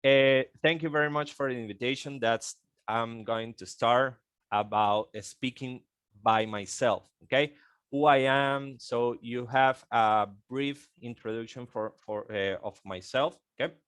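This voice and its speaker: A Venezuelan man in his thirties, Spanish-native, talks slowly through a call presentation in a clear, smooth voice. The sound is dry and close. He halts, repeats words and keeps tagging "okay?". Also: mid-range voice, fairly bright tone, no echo. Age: 30s. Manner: halting, repeats words, frequent "okay?" tags. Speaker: guest speaker on an online call.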